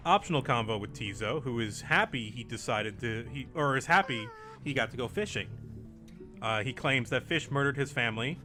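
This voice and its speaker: A man's voice: mid-range voice, expressive, moderate pace, pushed and bright, soft background music. A man giving a casual recap of a video game as a podcast host.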